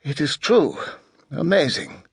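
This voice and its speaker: A man's voice: fairly high pitch, huge pitch swings, takes it slowly, creaky, breathy edge, no echo, studio quality, pitched above the performer's own voice, full character voice. A man voicing a nervous, spooked male informant who speaks hesitantly and deferentially, in an audiobook.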